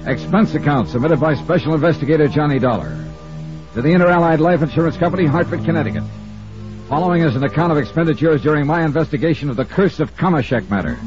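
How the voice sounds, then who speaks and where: An actor playing a brisk, wry insurance investigator in a 1950s radio detective drama, narrating crisply in the first person. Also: male voice, a little high, close to an everyday voice. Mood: brisk narration. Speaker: narrator and lead of a detective serial. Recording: muffled old radio recording with music.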